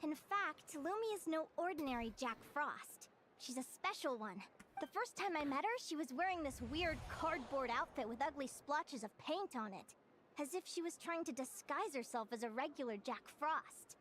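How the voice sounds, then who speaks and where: An actress playing a cheerful young woman who studies creatures, telling her story in a lively, friendly way. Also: high voice, moderate pace, slight background noise. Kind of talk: video game voice acting.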